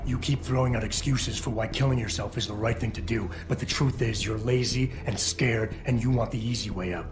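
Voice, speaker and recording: An actor playing a tough, unyielding video game hero who talks in a terse, controlled, confrontational way. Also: male voice, medium pitch, fairly even intonation, fairly quick, over music, lightly acted. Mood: blunt, scolding. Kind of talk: dramatic video game voice acting.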